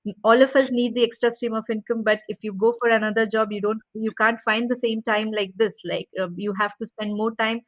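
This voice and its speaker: A woman's voice: on the high side, flat delivery, a little fast, no echo. A woman hosting a casual video interview, talking hesitantly with many fillers and restarts. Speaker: host. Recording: dull dry lo-fi sound.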